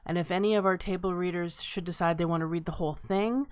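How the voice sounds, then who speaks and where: A woman's voice: on the low side, steady tone, fast talker, clear, resonant tone, no room sound, clean studio sound. A woman hosting a live script table read in a casual, conversational style.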